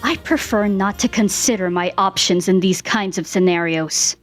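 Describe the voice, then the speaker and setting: A woman's voice: mid-range voice, moderate pace, faint music behind, natural voice. A woman voicing an irritable, defiant male skeleton hero with curt, exasperated retorts in a fan fiction reading. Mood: defiant disgusted.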